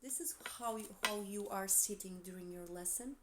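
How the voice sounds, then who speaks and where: A woman with a Portuguese accent, gentle and encouraging, giving a tarot reading with soft asides and self-corrections. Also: medium pitch, normal speed, very noisy. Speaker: tarot reader.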